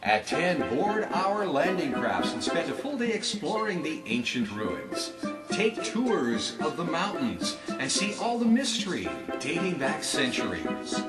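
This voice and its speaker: A man's voice: fairly high pitch, sing-song, measured pace, distant mic, over loud music. A man playing an upbeat cruise director and store announcer, giving cheery, formal promotional announcements. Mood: upbeat, inviting. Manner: formal greeting, cheery sales patter. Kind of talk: promotional public-address announcement.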